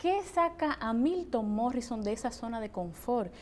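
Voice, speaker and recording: A woman hosting a television interview, deliberately building up and repeating her questions. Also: on the high side, expressive. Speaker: interview host.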